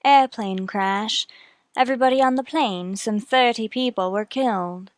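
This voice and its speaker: Woman as secretive guarded widow, radio drama, bit high pitched. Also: measured pace, dry recording, studio quality, natural voice. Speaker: actor. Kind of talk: radio mystery drama acting.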